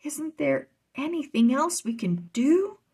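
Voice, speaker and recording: A woman's voice: high-pitched, animated, slow, creaky, dark tone, voice pushed up, heavily acted. A woman voicing an earnest, curious young boy apprentice with a questioning, wide-eyed manner in a children's storybook. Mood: worried.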